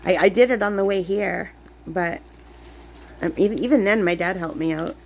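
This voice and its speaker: A sick woman, chatting in a rambling way while crafting, her voice lower than usual. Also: normal speed, a little hiss or hum, poor audio. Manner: chatty, rambling, many fillers. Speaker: crafting vlogger. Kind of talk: casual chat while crafting. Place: camp cabin indoors.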